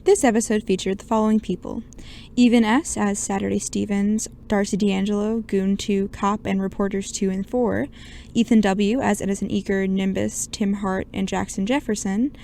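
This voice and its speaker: A woman's voice: medium pitch, creaky, dark tone, slight background noise. A woman reading scripted credits for an audio drama. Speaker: credits reader.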